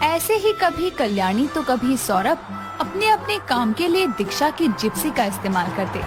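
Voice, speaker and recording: A woman narrates a cartoon story from a script. Her fairly high voice swings up and down in a lively way, with music clearly behind it.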